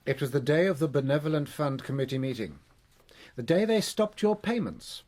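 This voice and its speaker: An actor playing an earnest, humane doctor and detective's companion in a radio play, measured and sober. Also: male voice, on the high side, very animated, a little mellow. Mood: firm, probing. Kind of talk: radio drama acting.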